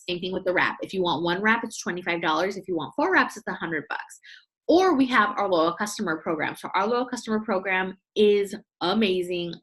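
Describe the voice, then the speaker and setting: A woman's voice: mid-range voice, a bit bright. A young woman leading a casual online training call for her sales team, chatty and upbeat, speaking over a video call. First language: English. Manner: chatty and upbeat, often saying "you guys".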